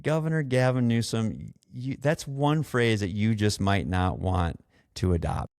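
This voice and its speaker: A man's voice: lowish voice, unhurried, a little mellow, dry recording, clean studio sound. An American man hosting a podcast, giving a casual, opinionated rant in a blunt, exasperated manner that includes swearing. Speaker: podcast host.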